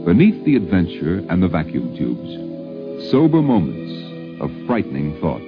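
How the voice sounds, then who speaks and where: A low male voice narrates a 1950s radio documentary with wide, lively intonation. He is measured and wry, with a dramatic touch. The sound is dull and vintage, with music underneath.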